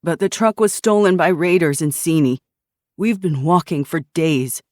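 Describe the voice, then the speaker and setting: A woman narrator voicing a grieving man, a mechanic and father who gravely recounts losing his wife and home. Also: fairly low pitch, animated, normal speed, a little mellow, no room sound, clean studio sound, natural voice. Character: grieving middle-aged male mechanic, widowed father.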